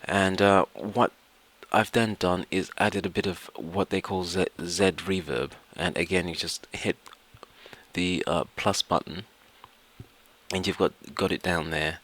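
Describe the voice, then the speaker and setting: A man narrating an informal software tutorial step by step, hesitating and repeating himself as he goes. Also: sits low, fairly even intonation, a little slow. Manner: hesitant, repetitive, explains step by step. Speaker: tutorial presenter.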